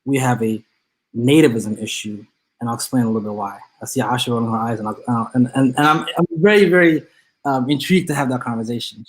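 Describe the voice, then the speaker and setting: A man's voice: medium pitch, fast, husky, low-quality recording. A man speaking as a college counseling professor on a panel discussion, earnest and academic, with frequent restarts.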